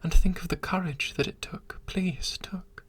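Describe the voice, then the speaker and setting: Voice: mid-range voice, a little slow, a bit bright, breathy edge, pitched above the performer's own voice. A reader in an airy whisper voicing a lovesick scaly monster that pleads and repeats itself. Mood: pleading. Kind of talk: whispered story reading.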